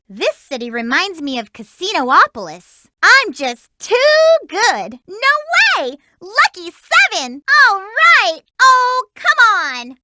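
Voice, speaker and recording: A woman's voice: very high-pitched, bright, forceful tone, no room sound, clean studio sound, exaggerated voice. A woman voicing a peppy, eager young female hedgehog heroine for a video game, giving upbeat instructions to her team. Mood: excited. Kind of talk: game voice clips.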